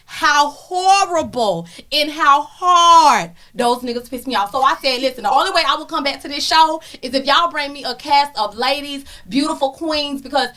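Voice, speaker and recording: A woman hosting a casual podcast, loud and playful, laughing often with her guests. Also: high-pitched, normal speed, few pauses. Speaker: podcast host. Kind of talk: casual chat. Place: indoor studio room with guests. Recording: lively crowd of guests in the room. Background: group of guests chatting and laughing.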